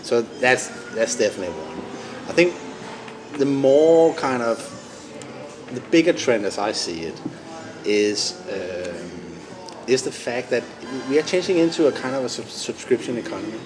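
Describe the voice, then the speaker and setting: A man's voice: mid-range voice, sing-song, moderate pace. A man with a Danish accent answering interview questions, explaining his points steadily and often restarting phrases. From Denmark. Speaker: company founder and CEO, guest. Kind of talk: business podcast interview. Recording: noisy room.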